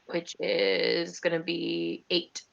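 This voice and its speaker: A woman with a lowish, gravelly voice speaks slowly as she plays a tabletop game. The call audio is slightly dull. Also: level tone. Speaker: player in a tabletop role-playing game. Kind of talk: casual online gaming chat.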